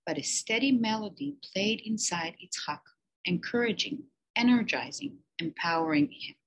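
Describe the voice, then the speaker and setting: A teacher reading a children's picture book aloud, slow and expressive with emphatic pauses. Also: female voice, a little high, gravelly, poor audio.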